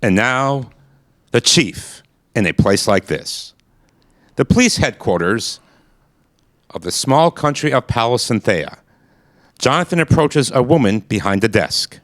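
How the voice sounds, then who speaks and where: A man's voice: medium pitch, expressive, measured pace, long pauses, fairly bright tone, no room sound, studio quality. A man narrating stage directions in a matter-of-fact way for a radio play. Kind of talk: scripted narration.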